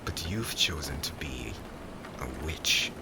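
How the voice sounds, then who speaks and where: A man playing a stern, cold witch hunter in an ASMR roleplay, speaking in a solemn, formal, menacing way that often drops to a hush. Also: a bass voice, lively intonation, slow, gravelly, very noisy, full character voice. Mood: stern, reproachful. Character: stern male witch hunter captor, cold, grudgingly admiring. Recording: heavy rain and thunder ambience behind. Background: rain and thunder sound effects.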